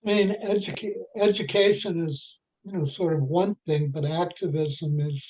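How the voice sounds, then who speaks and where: A participant in an adult faith class discussion, talking in a halting way with many fillers and restarts. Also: mid-range voice, steady tone, a little mellow. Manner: halting, heavy with "you know" fillers and restarts. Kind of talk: group discussion. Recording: dull lo-fi sound.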